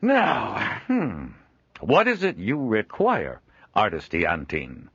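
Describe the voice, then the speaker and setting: A booming, jolly middle-aged merchant, as a man puts him on, pitched up and loud with wide, bouncing swings in his voice. His talk is dry and dull in tone, close and unroomy. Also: huge pitch swings, slow pace, husky, no echo, exaggerated voice. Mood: jovial. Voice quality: hearty, booming, laughs often. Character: jovial middle-aged male traveling trader.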